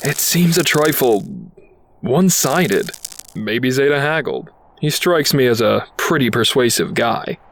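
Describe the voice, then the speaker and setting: A man's voice: mid-range voice, a little slow, a bit bright. A man playing a cynical, wisecracking robot detective with a sardonic delivery in an audio drama. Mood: dry, sardonic. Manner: sardonic, quippy.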